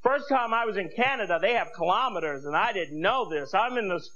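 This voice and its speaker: An American man in his thirties performing stand-up comedy on stage in an animated, exclaiming manner, speaking into a handheld microphone. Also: sits high, fairly quick. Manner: animated, exclaiming, acting out bits. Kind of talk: stand-up comedy routine to an audience. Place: comedy club stage with audience. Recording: muffled VHS TV recording, noisy audience. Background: audience noise and laughter.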